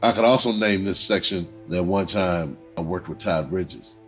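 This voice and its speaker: A man's voice: low-pitched, normal speed, soft background music. A middle-aged American man telling personal stories casually, candid and streetwise, repeating words for emphasis. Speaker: entertainer telling autobiographical stories. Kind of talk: casual spoken storytelling.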